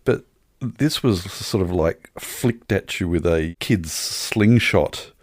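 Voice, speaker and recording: A man's voice: sits low, expressive, slow, dry recording, clean studio sound. A man, co-hosting a film review podcast in casual chat with wordy, wry, colourful phrasing. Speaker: podcast co-host reviewing films.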